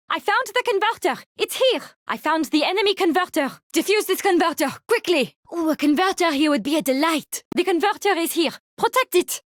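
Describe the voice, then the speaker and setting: A macabre French lady doctor from a video game, obsessed and giddy. Her voice sits high and leaps about in pitch with theatrical, genteel relish. The sound is dry, with no room around it. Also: no room sound. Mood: excited, playful. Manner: theatrical, gleefully morbid, genteel wording. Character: giddy, macabre woman mad-scientist fungus doctor. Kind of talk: video game hero voice lines.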